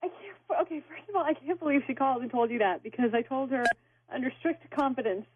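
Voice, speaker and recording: A woman's voice: sits high, quick pace, background noise. A woman on a phone call to a radio show, talking casually in a hesitant, flustered way. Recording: tinny phone line on radio.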